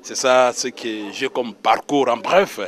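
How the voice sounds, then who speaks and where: A man's voice: mid-range voice, takes it slowly, fairly bright tone. A man with a Congolese accent, a church minister recounting his calling in a religious interview. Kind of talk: personal testimony in a religious interview.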